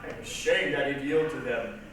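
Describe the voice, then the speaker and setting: A man's voice: mid-range voice, a little fast, a bit bright, recorded from a distance, close to an everyday voice. A man playing a proud, commanding Roman ruler in declamatory reader's theatre style. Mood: resolute. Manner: declamatory, imperious. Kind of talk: reader's theatre stage acting. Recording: echoey stage recorded from afar, noisy.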